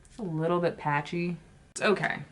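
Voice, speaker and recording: A woman's voice: lowish voice, fairly even intonation, normal speed. A woman beauty vlogger chatting casually while she does her makeup, swearing often and gushing about products.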